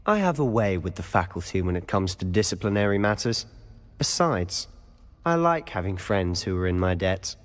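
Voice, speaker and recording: An actor playing a cocky young wizard student with a smooth, conspiratorial, self-assured manner. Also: male voice, low-pitched, very animated, moderate pace, long reverb tail. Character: cocky teenage wizard student, scheming, confident. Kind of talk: video game cutscene acting.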